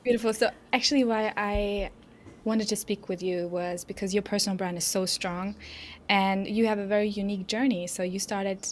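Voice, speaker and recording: A woman hosts a podcast interview at an everyday middle pitch. She asks her guest long, friendly questions. A faint hiss of noise sits under the recording. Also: fairly even intonation, normal speed. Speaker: podcast host.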